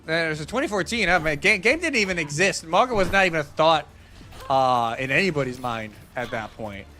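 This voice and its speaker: Bright, higher-pitched man casting esports. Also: lively intonation, soft background music. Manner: casual, conversational, halting. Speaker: esports commentator. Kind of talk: live analytical game commentary.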